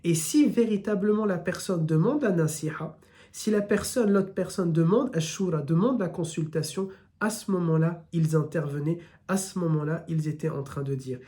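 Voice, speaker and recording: Southern french guy teaching a live class, warm mellow voice, piles up lists, talks right to you. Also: a little high, normal speed. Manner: builds points through repeated lists, speaks straight to listeners. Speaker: Islamic teacher. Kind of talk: live religious lesson.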